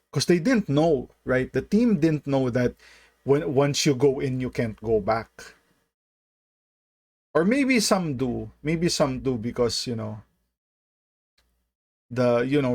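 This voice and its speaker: A man hosts a casual reaction video, thinking aloud in a rambling way. He takes his time with a medium-pitched voice, and the sound is dry with no room echo. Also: a little mellow. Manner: rambling, thinks aloud, often asks "right?".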